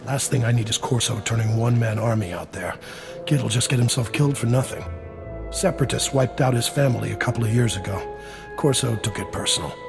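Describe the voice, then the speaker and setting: Game crime boss male actor: heavyset, gruff, gravelly, wry and worried, clear music underneath. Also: medium pitch, brisk, close to an everyday voice. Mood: businesslike, wry. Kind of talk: video game character dialogue.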